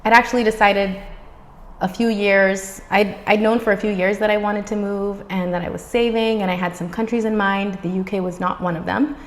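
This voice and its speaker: A woman's voice: mid-range voice, fairly even intonation, moderate pace. A woman in her thirties from the Bahamas with a neutral, American-sounding accent, chatting upbeat to camera as a vlogger. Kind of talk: casual talk to camera. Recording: reverberant room sound.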